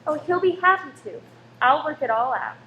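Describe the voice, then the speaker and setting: Actress as spirited, loyal cinema wife in radio drama; high pitch, echoey room. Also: female voice, sing-song, moderate pace, sharp tone, lo-fi sound, heavily acted. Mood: warm. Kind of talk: amateur radio play acting. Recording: roomy echo with faint noise.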